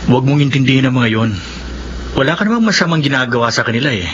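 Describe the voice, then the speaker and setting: Actor as a quick-talking reassuring suitor. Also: male voice, mid-range voice, expressive, a bit bright, natural voice. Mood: concerned. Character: Willie, persistent, reassuring male teacher and suitor. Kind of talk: Filipino radio drama acting. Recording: dull radio recording, clearly noisy.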